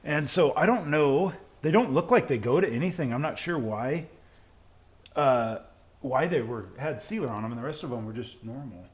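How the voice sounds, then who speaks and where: A man's voice: mid-range voice, animated, normal speed. A man giving a casual how-to while he works, thinking aloud with some hesitation. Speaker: car mechanic hobbyist, channel host. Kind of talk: casual hands-on how-to narration while working. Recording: noisy room, voice drifting off mic.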